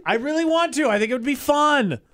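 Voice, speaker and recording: A woman's voice: high-pitched, lively intonation, brisk, fairly bright tone, dry recording, studio quality. Wry, sarcastic woman co-host. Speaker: radio show co-host. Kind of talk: casual banter.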